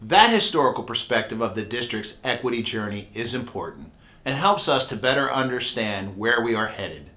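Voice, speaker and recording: A man reading a scripted narration for a school documentary in a smooth delivery. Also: medium pitch, lively intonation, moderate pace, sharp tone. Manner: smooth, read-aloud delivery.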